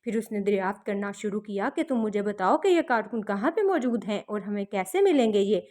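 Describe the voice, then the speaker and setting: A woman's voice: medium pitch, expressive, a little fast, a little mellow, natural voice. A woman voicing a tiny, kind winged girl in a children's fairy tale, earnest and sweet. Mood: concerned.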